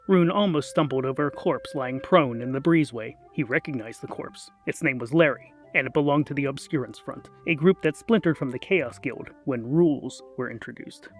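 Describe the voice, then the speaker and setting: A man narrating a scripted comic fantasy story in a dry, deliberate, theatrical way. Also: mid-range voice. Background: music.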